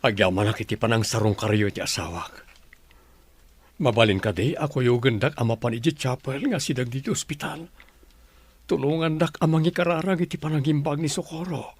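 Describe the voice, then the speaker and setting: A man acting in a radio drama as a wealthy husband who pleads prayerfully, with a breathy delivery. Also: medium pitch, steady tone, brisk, lightly acted. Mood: anguished, pleading. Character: anguished wealthy husband praying for his wife.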